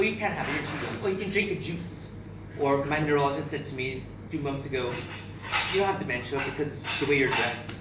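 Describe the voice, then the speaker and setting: A man in his thirties from Barbados gives a candid, emotional personal speech to an audience, with frequent restarts. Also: a little high. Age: 30s. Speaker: speaker at a charity event. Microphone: far camera. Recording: echoey room, captured from far off with noise.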